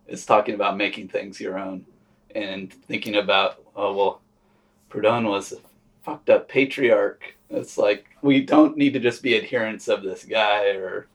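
A man in a casual podcast discussion, speaking as a panelist in a halting, thoughtful way, recorded at a distance from the mic. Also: on the low side.

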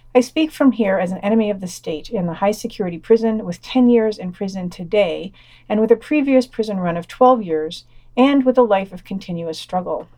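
A woman's voice: medium pitch, a little mellow. A woman presenting a podcast, reading news items and quoted statements from a script.

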